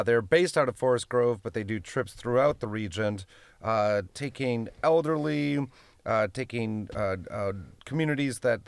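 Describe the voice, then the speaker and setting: A man's voice: mid-range voice, smooth, dry recording. A man hosting a radio interview in a studio, asking questions with many restarts and fillers. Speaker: radio show host.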